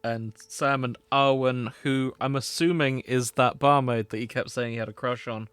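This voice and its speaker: A man's voice: mid-range voice, fairly even intonation, normal speed, fairly bright tone, smooth. A man with a British accent bantering casually as a podcast co-host, wry and joking. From Britain.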